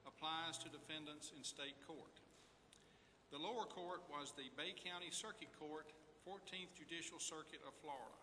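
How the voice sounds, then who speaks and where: A man's voice: fairly high pitch, bright, forceful tone. A man reading scripted narration aloud as narrator at a public event in a large hall. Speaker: narrator at a readers theater event. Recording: echoey hall, clear background noise.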